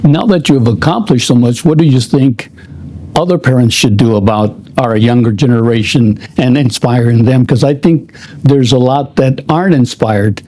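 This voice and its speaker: A male interviewer with a dark, mellow tone and a mid-range pitch. He asks long, winding questions, restarting himself as he goes. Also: moderate pace, rough recording.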